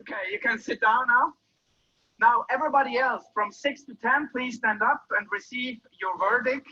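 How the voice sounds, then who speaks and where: A man's voice: extremely high pitch, animated, moderate pace, bright, forceful tone, slightly rough. A man presenting a workshop, walking the audience through a quiz step by step. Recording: muffled lo-fi recording.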